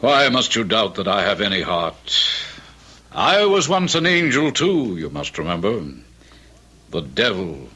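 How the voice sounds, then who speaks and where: Husky, slow, suave devil. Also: male voice, fairly low pitch, huge pitch swings, bright, forceful tone, heavily acted. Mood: persuasive. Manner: suave, coaxing, deliberate. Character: devilish supernatural tempter, a gentle, knowing male fallen angel. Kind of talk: old-time radio drama acting.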